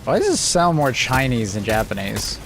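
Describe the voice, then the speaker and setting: A man's voice: mid-range voice, sing-song, moderate pace, creaky, noisy background. A young man hosting a gaming livestream, chatting casually and rambling with lots of restarts. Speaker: livestream host. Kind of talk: casual chat while gaming.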